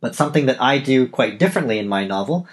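A man's voice: medium pitch, lively intonation, fast. A Canadian man in his thirties hosting a solo podcast, talking casually and digressing with frequent self-corrections. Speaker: podcast host and novelist.

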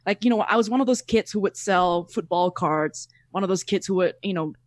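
A man in his thirties from Singapore with a Singaporean accent, chatting casually as a podcast guest over a video call, animated and quick to laugh. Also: high voice, no room sound. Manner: animated, laughs often, repeats words. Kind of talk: casual interview chat.

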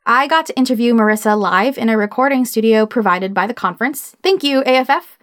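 A woman's voice: fairly high pitch, expressive, a little fast. A woman hosting a podcast, introducing and conducting an interview.

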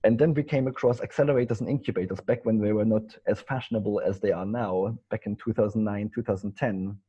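A man's voice: fairly low pitch, fast, warm tone, slightly rough, dull audio. A middle-aged man from East Germany with a German accent, talking loosely as a podcast guest, with many fillers and restarts. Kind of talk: casual interview talk.

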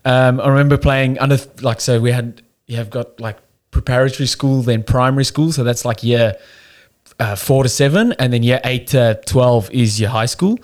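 Young South African guy, candid, chatty podcast guest, middle pitch. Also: normal speed, a little mellow. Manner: candid, swears, restarts a lot. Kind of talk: casual chat.

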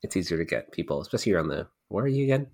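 A man's voice: low-pitched, big pitch swings, rapid-fire, vocal fry, a little mellow, no echo, studio quality. A man hosting a podcast in a chatty, giggly way, with plenty of ums. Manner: chatty, giggly, lots of fillers. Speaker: podcast host. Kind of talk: casual podcast chat.

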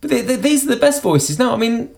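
A singer-songwriter from the UK, talking in an interview about his singing, with a high voice and halting speech full of restarts. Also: sing-song, gravelly, a little mellow. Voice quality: self-described high voice. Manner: halting, many restarts and repeated words. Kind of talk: conversational interview.

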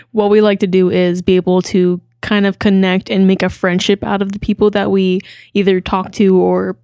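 Young woman, podcast co-host, halting chat, dry close sound. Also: medium pitch, level tone, dark tone, clean tone, dry recording. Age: young adult. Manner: halting, with stumbles and restarts.

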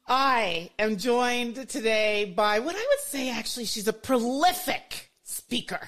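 A woman's voice: fairly high pitch, animated, a little slow, fairly bright tone. A woman hosting a podcast, talking enthusiastically in casual chat with many restarts and "you know"s. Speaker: podcast host.

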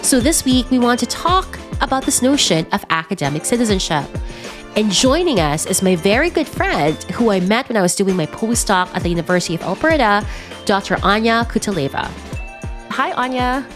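Podcast host: woman, mid-pitched voice, encouraging, laughs easily, faint music under her. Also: big pitch swings, fairly quick. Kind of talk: conversational interview.

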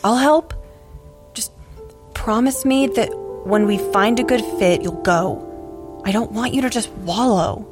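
A woman's voice: on the high side, measured pace, over music, close to an everyday voice. An actress playing a caring, blunt young woman, a persistent best friend, in an audio drama, speaking casually and frankly with an American accent. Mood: comforting. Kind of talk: naturalistic audio drama acting.

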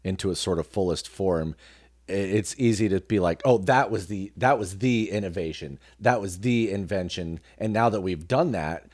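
Low-voiced man in a dry close recording. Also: normal speed, darkish tone, no echo. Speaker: guest, actor and comedian. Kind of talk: reflective interview conversation.

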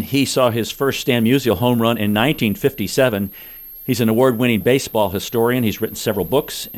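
A man hosting a radio talk show, upbeat, reading scripted intros and asking guests questions. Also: lowish voice. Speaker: radio host.

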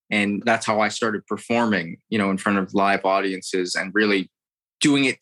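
An American man in his thirties talks about his career as an impressionist. He has a low-pitched voice with a bright, forceful edge. He rambles earnestly and often restarts his sentences mid-thought. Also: poor audio. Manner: earnest, rambling, repeats words. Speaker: impressionist guest. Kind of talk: interview storytelling.

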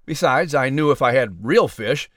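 A man's voice: mid-range voice, lively intonation, normal speed, fairly bright tone, no echo, studio quality, natural voice. An actor playing an easygoing, playful man who owns pets in a children's audio drama. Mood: wry, easygoing.

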